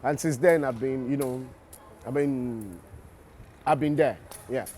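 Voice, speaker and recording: A man's voice: mid-range voice, slow pace, darkish tone, a little hiss or hum. A Nigerian man, an actor in an interview, explaining his craft reflectively and often restarting his sentences. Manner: reflective, restarts and repeats words while explaining. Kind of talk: interview answers.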